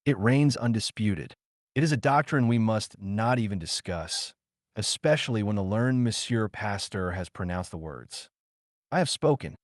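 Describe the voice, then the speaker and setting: A man's voice: lowish voice, animated, normal speed, no room sound, studio quality, natural voice. A man reading the quoted words of a scornful, pompous veterinarian in a biography audiobook, with a mocking, grandiose manner. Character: scornful, pompous male veterinarian and magazine editor.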